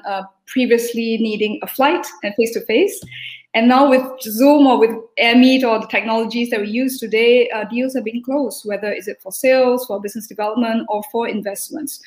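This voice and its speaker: Woman from Singapore, earnest summit guest, slightly high voice, echoey room. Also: steady tone, moderate pace, a little mellow. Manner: earnest, thankful, many fillers. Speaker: panel guest speaker. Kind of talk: virtual summit remarks.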